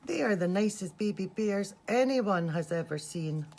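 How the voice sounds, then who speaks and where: A woman voicing a loving mummy bear from a children's storybook, gentle, patient and reassuring. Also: medium pitch, expressive, moderate pace, a little hiss or hum, pitched above the performer's own voice, close to an everyday voice. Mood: fond, reassuring.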